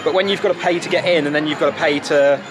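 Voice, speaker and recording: A man's voice: fairly high pitch, steady tone, fast talker. A British man in his twenties giving chatty, informal vlog narration to camera on a handheld camera outdoors at a theme park. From Britain. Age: 20s. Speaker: travel vlogger, host. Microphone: handheld camera at arm's length. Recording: music over outdoor theme park sound.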